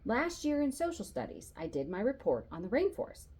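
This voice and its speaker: A woman reading a children's novel aloud as an expressive storyteller, narrating in the voice of a boy and acting out the dialogue. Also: fairly high pitch, a little fast, husky. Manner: animated, acts out dialogue.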